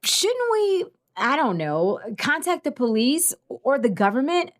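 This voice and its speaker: A woman's voice: high-pitched, sing-song, a little slow. A woman playing a brave, principled teenage girl hero, earnest and decisive, in an audio drama. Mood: worried.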